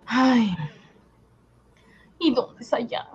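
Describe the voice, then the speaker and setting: A man's voice: very high-pitched, drawn-out words, muffled recording, a little hiss or hum, pitched above the performer's own voice, exaggerated voice. A man voicing a fretful, doubting wife who whines and scolds fondly in a comic radio drama. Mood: exasperated. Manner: whining, scolding, affectionate diminutives.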